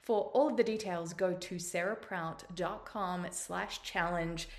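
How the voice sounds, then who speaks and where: An Australian woman in her forties hosts a motivational podcast, speaking in a somewhat low voice. She talks earnestly and encouragingly, stretching out her phrases as she speaks straight to her listeners. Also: steady tone, unhurried. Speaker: podcast host. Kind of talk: motivational monologue.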